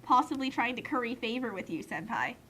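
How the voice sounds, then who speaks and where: Female co-commentator, bright and high, casual comic interjections. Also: animated, brisk, breathy edge.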